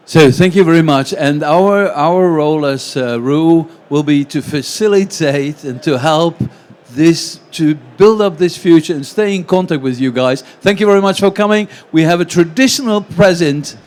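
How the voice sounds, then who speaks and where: A man's voice: a little high, normal speed, a little mellow. A man moderating a live panel, informally addressing the speakers and audience as host. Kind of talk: informal live event hosting. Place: conference event panel.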